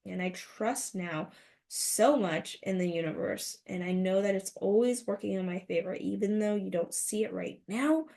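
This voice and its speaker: Woman in her thirties, motivational podcast host, earnest and uplifting, slight vocal fry, mid pitch. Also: darkish tone. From United States. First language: Mandarin. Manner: earnest, inspirational, rhetorical questions.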